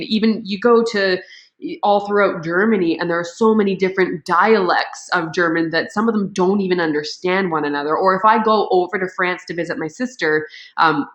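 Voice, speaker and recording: A woman's voice: lowish voice, highs cut off. A woman guest speaking thoughtfully in a casual interview. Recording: roomy echo.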